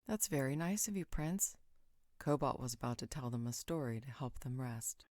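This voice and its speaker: Woman playing a very low, mellow, polite companion. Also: expressive, normal speed, no room sound, clean studio sound. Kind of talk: roleplay audio acting.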